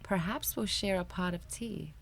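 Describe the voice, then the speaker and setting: A woman doing a gentle old zookeeper man. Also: high-pitched, moderate pace, gravelly, slight background noise, voice pushed up. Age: older. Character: kindly elderly male zookeeper, gentle.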